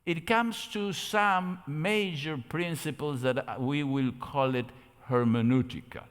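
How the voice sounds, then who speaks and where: A man's voice: fairly high pitch, slow, smooth. An older man from Argentina with an Argentine Spanish accent, giving a didactic yet conversational theology lecture with rhetorical questions. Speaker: theology lecturer at a church camp meeting. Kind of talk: lecture with slides. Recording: echoey hall with long reverb.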